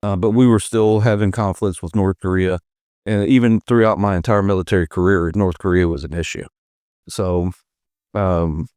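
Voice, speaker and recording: Low-voiced man telling his story calmly. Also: smooth, no echo, studio quality. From United States. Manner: unhurried storytelling with fillers and chuckles. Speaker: podcast guest. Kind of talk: storytelling in an interview.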